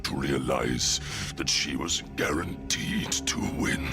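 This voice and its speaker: An actor playing a menacing, brooding villain in an audio drama, with slow, deliberate delivery. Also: male voice, a bass voice, full character voice. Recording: music under the voice.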